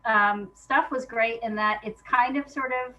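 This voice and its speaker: A woman's voice: a little high, steady tone, a little slow, sharp tone, lo-fi sound. A woman guest chatting casually in an interview, thinking aloud in an informal, hesitant way. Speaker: guest playwright.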